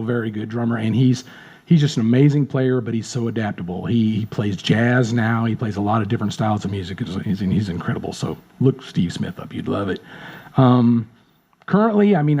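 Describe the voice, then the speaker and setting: A man's voice: medium pitch, vocal fry, mellow, lo-fi sound. A drummer in his late fifties, chatting as a guest in a casual interview, friendly and rambling, often repeating words. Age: 50s.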